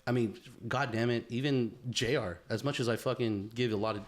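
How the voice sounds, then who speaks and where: A man's voice: medium pitch, brisk. A man in his thirties chatting casually as a podcast co-host, informal and sweary, often repeating phrases for emphasis. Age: 30s.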